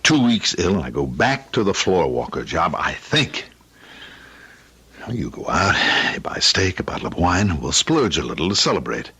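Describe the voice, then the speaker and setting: A luckless young husband in a vintage radio play. His husky voice is bright and forceful, and it swings widely in pitch. He is theatrical, emphatic and easily worked up. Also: very animated, normal speed, full character voice. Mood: bitter, exasperated. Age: young adult. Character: frustrated, luckless young husband, a struggling store clerk. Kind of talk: old-time radio drama acting. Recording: vintage radio drama recording.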